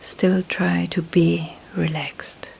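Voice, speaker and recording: A woman teaches meditation in a soft, breathy voice with a gravelly creak. Her delivery is very slow and calm, broken into short phrases with long pauses. Faint background noise sits under the recording. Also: fairly low pitch, poor audio. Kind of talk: slow guided meditation instruction.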